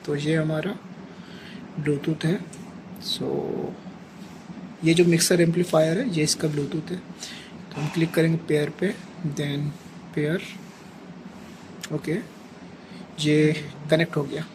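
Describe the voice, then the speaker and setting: A man's voice: fairly high pitch, level tone, slow, pauses often. A man with an Indian accent giving a hands-on, step-by-step equipment tutorial as a technician, often adding a short check-in tag. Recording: clearly noisy amateur recording with ambient sound.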